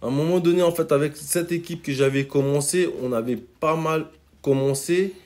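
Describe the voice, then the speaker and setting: A man's voice: a little high, moderate pace, a little mellow. A man from Congo, a rapper guest, talking in a laid-back, slangy way and often saying "you see". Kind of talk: casual interview chat.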